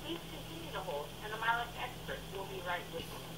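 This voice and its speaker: Lively female phone-menu voice, noisy line. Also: a little high, quick pace. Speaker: automated phone system announcer. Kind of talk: scripted hold message and menu prompts. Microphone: heard down a phone line. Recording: distant, noisy telephone line.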